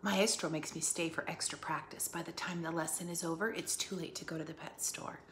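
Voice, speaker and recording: A woman teacher reading a picture book aloud for children, expressively, pausing to put questions to her listeners. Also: low voice, steady tone, a bit bright. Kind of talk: animated read-aloud for children with asides and questions. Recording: echoey room, faint background noise.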